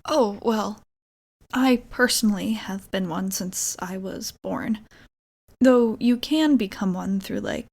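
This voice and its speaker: A woman's voice: mid-range voice, slow pace, vocal fry, darkish tone. A young woman playing a nervous, awkward, kindly vampire roommate in an intimate ASMR roleplay, hesitant, rambling and apologetic, slipping into whispers. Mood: explaining, reassuring.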